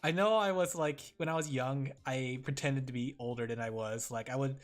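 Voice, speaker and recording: A man's voice: medium pitch, a little fast. A man streaming and chatting casually with his viewers, rambling with lots of fillers and frequent giggles.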